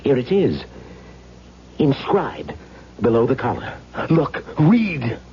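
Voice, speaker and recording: A muffled, faintly noisy old radio drama recording of a meticulous, anxious costume expert. He speaks deliberately and precisely, with dramatic, wide swings in pitch. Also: sing-song. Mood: anxious, insistent. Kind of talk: 1970s radio drama acting. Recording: muffled, noisy vintage radio broadcast with hum.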